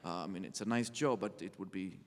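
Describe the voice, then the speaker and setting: A man speaking reflectively as a guest on stage, halting and repeating phrases for emphasis. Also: deep voice, a little hiss or hum. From Israel. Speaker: interview guest, orchestral cellist. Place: on stage in a concert hall. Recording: reverberant concert hall.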